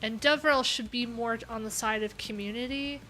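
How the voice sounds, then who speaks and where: A woman's voice: a little high, fairly even intonation, moderate pace, pushed and bright, soft background music, poor audio. A woman hosting a casual coding livestream, talking candidly in a rambling way with lots of filler words. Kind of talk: casual live-stream chat.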